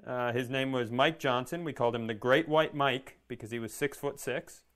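A man's voice: mid-range voice, normal speed, a bit bright. Wry, rambling male comedy podcaster. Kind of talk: casual comic chat.

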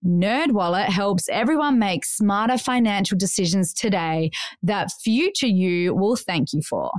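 A woman's voice: medium pitch, expressive, a little slow, no room sound, clean studio sound. A woman co-host reading an ad in a chatty, upbeat way. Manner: bright, chatty and upbeat delivery. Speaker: podcast co-host. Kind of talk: host-read ad.

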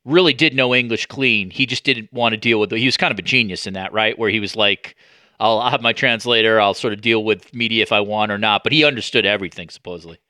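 Bright-voiced man hosting an interview, dry sound. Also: fairly low pitch, fast, no echo. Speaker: podcast host and interviewer. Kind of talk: casual sports media interview.

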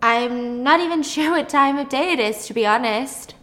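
A woman storyteller plays a young captive woman who has given up. She sounds weary and frank, speaking matter-of-factly in a high voice. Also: moderate pace, a bit bright, long reverb tail. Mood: resigned.

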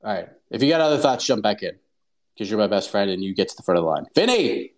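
A man's voice: lowish voice, sing-song, fast talker. A man hosting a live call-in show, talking casually with lots of fillers. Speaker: host.

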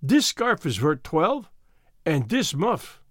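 A man voicing a persistent, literal-minded beat policeman in a storybook, matter-of-fact and deliberate. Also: on the high side, sing-song, fairly bright tone, dry recording, studio quality, heavily acted.

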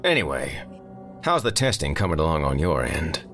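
A man's voice: very deep voice, wildly swooping pitch, normal speed, music in the background, heavily acted. A man voicing a sardonic, brainy male tech colleague in an audiobook story, wry, emphatic and conversational. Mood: wry, wistful.

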